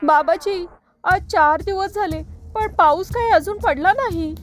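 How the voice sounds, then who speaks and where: Gullible pleading goat played by a woman for a children's fable; very high, imploring, trusting, light music underneath. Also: measured pace. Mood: pleading, worried. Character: gullible, pleading female goat and jungle animals. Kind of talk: children's animal fable dialogue.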